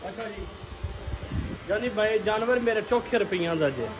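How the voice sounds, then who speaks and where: Middle-aged Punjabi farmer, short polite answers. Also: male voice, high-pitched, unhurried, slight background noise, low-quality recording. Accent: Pakistani Punjabi. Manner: brief, polite replies. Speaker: dairy farm owner. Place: outdoor cattle shed at a farm.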